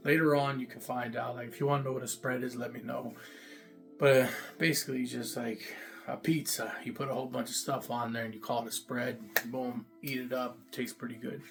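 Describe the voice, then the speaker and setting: A man talking straight to camera in a loose, repetitive way, full of "like" and "boom", his voice sitting in the middle for pitch. It's unpolished storytelling, with a little music playing softly behind him. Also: little pitch movement, a little fast, vocal fry. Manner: loose and repetitive, many fillers, emphatic "boom". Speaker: vlogger recounting jail time. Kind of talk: casual first-person storytelling to camera.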